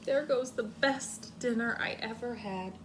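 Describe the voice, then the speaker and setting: A kind, generous woman cook from a picture book, voiced by a woman reading aloud. She speaks with a sorrowful, sighing softness of manner. Clear noise sits in the recording. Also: a little high, unhurried, lightly acted. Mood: sad, apologetic.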